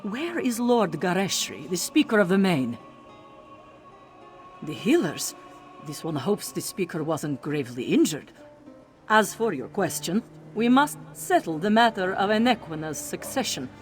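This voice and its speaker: Formal cat lady envoy from a fantasy game, dutiful, lively intonation, music behind. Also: on the low side, measured pace. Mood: measured, formal. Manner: formal, refers to herself in third person. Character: formal female Khajiit cat-folk envoy, measured and dutiful. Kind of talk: video game character dialogue.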